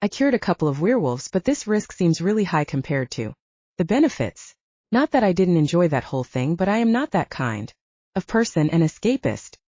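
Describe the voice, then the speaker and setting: A woman's voice: fairly low pitch, sing-song, fairly quick, warm tone, no room sound, highs cut off. A woman voicing an anxious, curious young heroine with an earnest, questioning manner in an audiobook. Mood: troubled.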